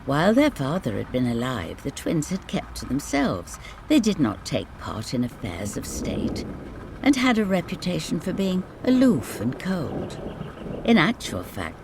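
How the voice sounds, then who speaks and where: A storyteller narrating a scripted bedtime fairy tale in measured, dramatic phrases. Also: huge pitch swings. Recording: clear rain and rumble noise behind. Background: rain ambience.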